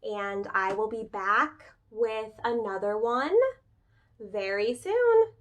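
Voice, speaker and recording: A woman with a slightly high voice reads a picture book to young children in the manner of a preschool teacher. Her delivery is expressive and informal, moving between the story text and chatty asides that invite the listeners to answer. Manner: expressive, pauses to ask listeners questions. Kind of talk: children's storybook read-aloud with casual asides.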